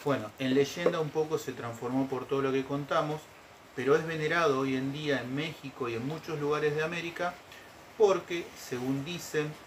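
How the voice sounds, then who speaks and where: A man in his forties relates a folk legend to the camera from a home sofa, explaining patiently and checking in with his audience. His voice sits a touch high for a man. There is a faint hiss of background noise behind him. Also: fairly even intonation, normal speed. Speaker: storytelling show host. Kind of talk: casual storytelling to camera. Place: living room, seated on a sofa.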